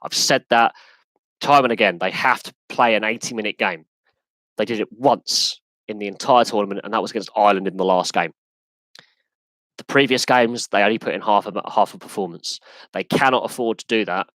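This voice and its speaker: A man with an English accent hosting a live sports podcast Q&A, talking in an animated, emphatic way that often restarts and repeats itself. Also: on the low side, a little fast, dry recording, rough recording. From England. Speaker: podcast host. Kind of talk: live Q&A rant.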